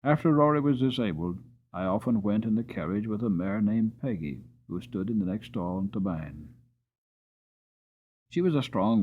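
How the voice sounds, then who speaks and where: A man reading a storybook aloud as a dramatic, expressive audiobook narrator telling a tale in the first person. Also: lowish voice, breathy edge, narrow, tinny audio. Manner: expressive, slowing on sad passages.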